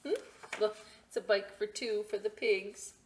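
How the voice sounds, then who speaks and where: A woman reading stories aloud to young children in a playful, expressive way, with chatty asides and questions she often answers herself. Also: mid-range voice, unhurried, background noise. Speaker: children's story time reader at a library.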